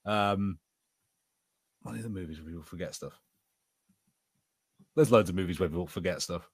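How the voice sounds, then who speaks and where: A man's voice: low voice, normal speed, breathy edge, no room sound, clean studio sound. A man co-hosting a podcast, chatting casually in an informal, jokey way. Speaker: podcast co-host. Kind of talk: casual chat, episode review banter.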